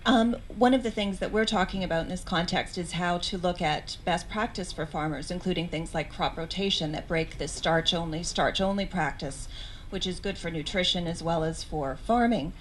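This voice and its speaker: A woman speaks from the conference floor on behalf of a coalition. Her voice is low and measured, her phrasing articulate. Faint hall noise sits behind her. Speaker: coalition representative. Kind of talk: audience question at a conference.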